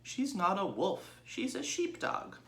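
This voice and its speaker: A man voicing a polite, earnest young male pig in a read-aloud storybook, in a much higher voice than his own. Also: wildly swooping pitch, a little fast, sharp tone, heavily acted. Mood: hurt. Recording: echoey room.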